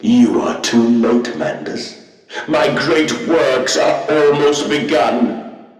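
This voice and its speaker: A man's voice: mid-range voice, takes it slowly, close to an everyday voice. A man voicing a sentient industrial machine in a video game, a mocking zealot who preaches grandly and with contempt. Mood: scornful rage. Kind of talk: theatrical video game villain monologue. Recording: distant, echoing voice.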